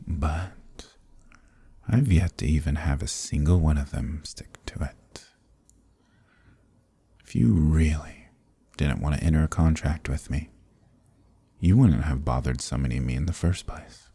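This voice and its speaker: An extremely deep-voiced archdemon, acted by a man, ancient and smug, speaks in a dark fantasy ASMR roleplay. The tone is dark and heavily gravelled, with a husky breath to it. Each phrase comes slowly, with condescending, dominant authority. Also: dry recording, heavily acted. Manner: slow, deliberate, condescending, commanding.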